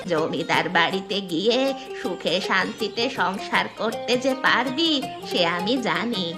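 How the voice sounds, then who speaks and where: A woman's voice: mid-range voice, a little slow, lightly acted. Woman as a chiding old village granny, music behind. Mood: reassuring. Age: older. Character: kindly, familiar old village granny who scolds fondly. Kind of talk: animated moral-story voice acting.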